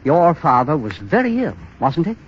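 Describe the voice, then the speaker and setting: An actor playing a desperate, menacing moneylender in a 1930s radio drama, insistent and coldly insinuating. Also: male voice, a little high, sing-song, normal speed. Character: desperate, menacing bank clerk and blackmailing moneylender. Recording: muffled, scratchy 1930s radio broadcast.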